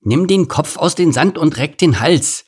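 Man as a high, cheeky little ground squirrel. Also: big pitch swings, normal speed, vocal fry, breathy edge, full character voice. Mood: impatient. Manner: inquisitive, impatient, wisecracking. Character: small, curious, cheeky male ground squirrel. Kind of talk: children's storybook character voice.

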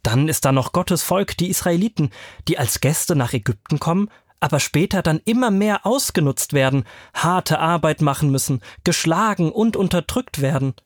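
A man as a guest storyteller, explaining Bible stories to children in simple, clear phrases. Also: on the high side, animated, moderate pace, dry recording, studio quality.

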